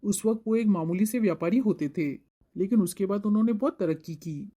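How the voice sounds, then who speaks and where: A woman's voice: medium pitch, fairly quick, mellow, lightly acted. A woman voicing an earnest young bridegroom in a Hindi cartoon moral story.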